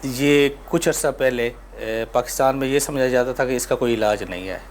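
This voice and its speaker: A man with a Pakistani accent answering interview questions as a doctor, explaining in measured phrases with pauses and some English medical terms. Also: mid-range voice, fairly even intonation. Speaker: doctor, ENT surgeon being interviewed. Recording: noticeable background ambient noise.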